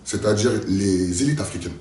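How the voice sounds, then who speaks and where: A man's voice: low-pitched, animated, normal speed, dark tone, echoey room, recorded from a distance. A man from France answering interview questions in an emphatic, repetitive, speechlike way. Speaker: local political activist and candidate.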